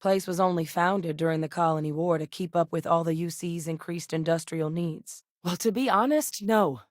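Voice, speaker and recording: A woman's voice: fairly low pitch, normal speed, a little mellow, no echo, clean studio sound, close to an everyday voice. An actress voicing a capable female scientist companion in a game, earnest and matter-of-fact. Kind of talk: video game voice lines.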